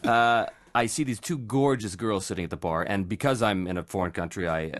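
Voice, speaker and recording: A man's voice: fairly low pitch, brisk. A man with a Canadian accent hosting a podcast, chatting casually and jokily and reading out listener questions. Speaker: podcast host, voice actor.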